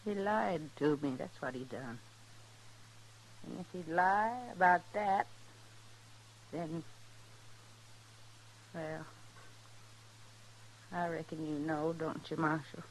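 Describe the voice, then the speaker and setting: An actress playing a middle-aged, long-abused rural wife in a radio western, plain and quietly resolute, with a rural American accent. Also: female voice, low-pitched. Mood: quiet bitterness. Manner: plain, unhurried, folksy. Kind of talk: 1950s radio western acting. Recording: noisy old radio drama recording.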